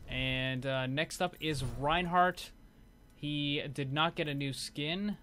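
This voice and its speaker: A man's voice: fairly high pitch, fairly even intonation, slow, bright, forceful tone, a little hiss or hum. A man giving casual, off-the-cuff commentary as host of a gaming showcase video.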